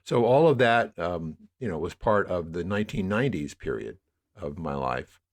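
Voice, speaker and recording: A man in his sixties with an American accent and a low-pitched voice. He talks as an interview guest, unfolding his thoughts in a reflective, discursive way and leaning on key words. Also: animated, normal speed. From New York area, United States. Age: 60s. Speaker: interview guest, media producer.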